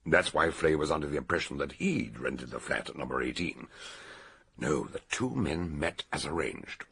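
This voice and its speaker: An eccentric old British detective from a radio mystery. His voice is deep and thoroughly rough, full of fry and husk. He explains deliberately and theatrically, with lively rises and falls. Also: exaggerated voice. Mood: animated, authoritative. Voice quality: gravelly, husky. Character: eccentric older male detective expounding a murder solution. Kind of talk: radio detective drama acting.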